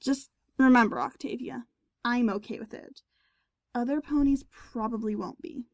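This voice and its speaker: A woman's voice: on the high side, moderate pace, no echo, studio quality, lightly acted. A woman playing a sardonic but forgiving pony musician in an audio drama, with a wry, mock-formal manner. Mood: friendly, forgiving. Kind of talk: audio drama character acting.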